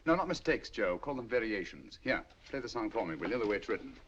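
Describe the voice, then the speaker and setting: An actor playing a sharp, cool-headed English detective with crisp, measured, lightly ironic speech, in a 1940s film. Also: male voice, on the low side, sing-song, muffled recording, a little hiss or hum.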